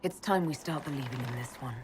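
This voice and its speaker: An actress playing a young, skeptical elf mage hero in a fantasy video game, with a dry, pragmatic manner. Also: female voice, very deep voice, fast talker, background noise. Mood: doubtful, firm. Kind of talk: dramatic video game dialogue.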